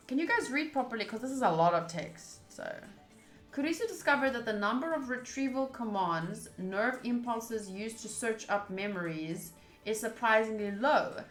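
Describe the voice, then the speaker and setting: A woman streaming a visual novel, reading the text aloud and adding casual asides to viewers. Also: fairly high pitch. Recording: roomy echo, faint game music behind. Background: faint game music.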